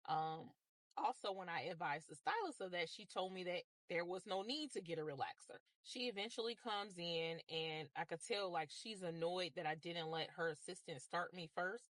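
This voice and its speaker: American woman telling her story step by step, lowish voice, no room sound. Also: a bit bright, dry recording. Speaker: customer telling a salon story. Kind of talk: measured complaint storytelling to camera.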